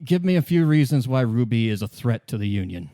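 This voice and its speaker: A man's voice: mid-range voice, very animated, a little fast, dark tone, no room sound, studio quality, close to an everyday voice. Man acting out a grudging, defensive bar-gang boss.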